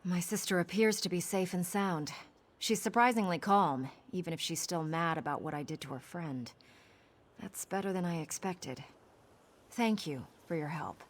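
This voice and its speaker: A woman playing a protective, composed older sister with an earnest, measured manner in game dialogue. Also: lowish voice, natural voice. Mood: relieved, grateful.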